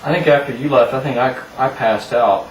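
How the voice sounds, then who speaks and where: Man, middle pitch, informal banter, echoey, off the mic. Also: brisk. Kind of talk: casual banter among friends. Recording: echoey, noisy room, far from mic.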